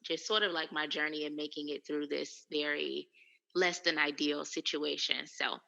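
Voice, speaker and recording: A woman's voice: low voice, fairly even intonation, normal speed, vocal fry, bright, forceful tone, long reverb tail, dull audio. A young woman co-hosting a casual podcast, chatting playfully and plugging her channel. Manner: playful, upbeat, promotes her channel between laughs. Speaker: podcast co-host and YouTuber.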